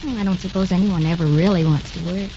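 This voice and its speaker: An actress playing a sincere, understanding young nurse in a 1940s radio drama style. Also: female voice, on the low side, steady tone, quick pace, dark tone, lightly acted. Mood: polite, tentative. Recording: noisy, dull 1940s radio broadcast.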